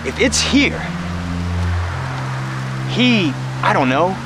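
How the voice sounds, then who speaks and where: A man's voice: high voice, big pitch swings, very slow, music in the background, exaggerated voice. Man acting a folksy wasteland wanderer spinning tall tales. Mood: ominous. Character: rugged post-apocalyptic male wanderer spinning boastful tall tales. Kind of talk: film dialogue, campfire storytelling.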